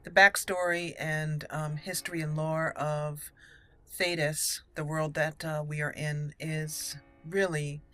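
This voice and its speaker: A woman's voice: deep voice, flat delivery, takes it slowly, sharp tone, soft background music. A woman giving casual commentary on a fantasy video game and reading dialogue choices aloud in character. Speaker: let's play host.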